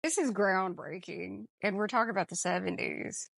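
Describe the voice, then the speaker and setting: A woman's voice: medium pitch, moderate pace, gravelly, a bit bright, dry recording, studio quality. A woman co-hosting a casual movie discussion podcast, speaking in an emphatic, informal, playful way. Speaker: podcast co-host.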